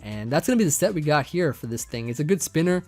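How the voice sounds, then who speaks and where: A young man casually talking through a team build, rambling with many fillers, his voice getting a bit hoarse. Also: fairly high pitch, fast, soft background music. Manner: relaxed, rambling, many fillers and restarts, light laughs. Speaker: competitive Pokemon team builder. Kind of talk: casual gaming commentary over team building.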